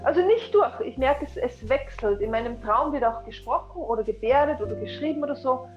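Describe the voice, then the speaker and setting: A woman's voice: sits high, lively intonation, a little fast, soft background music. A woman answering earnestly in an interview over a video call with dull lo-fi audio.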